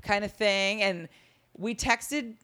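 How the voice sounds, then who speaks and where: A woman with a low, deep-timbred voice, telling stories in a casual interview and acting out the dialogue. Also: takes it slowly, smooth. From Las Vegas. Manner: animated, acts out quoted dialogue. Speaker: comedian guest.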